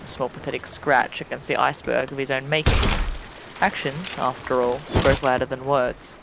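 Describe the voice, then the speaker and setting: A man's voice: medium pitch, fairly even intonation, normal speed, creaky, very noisy. A man reading fan fiction aloud dramatically as a streamer, dropping into casual chat, tripping on words and swearing offhandedly.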